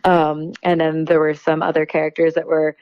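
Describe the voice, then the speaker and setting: A woman with a fairly low voice talks as a guest in a casual promotional interview. She is enthusiastic, often pausing to pick her words. The audio is slightly dull, like a muffled call. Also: little pitch movement, a little mellow, smooth.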